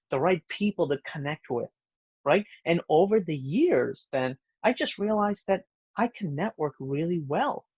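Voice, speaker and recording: Higher-voiced American man, dull dry sound. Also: breathy edge, no echo. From born in India, moved to the United States young. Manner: explanatory, checks in with "right?". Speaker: podcast guest, networking expert. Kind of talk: conversational interview answers.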